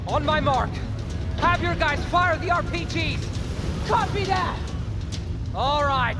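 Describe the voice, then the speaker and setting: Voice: sits high, animated, slow pace, over loud music. An actor playing a commanding, aggressive military fighter in an audio drama, barking urgent orders in battle.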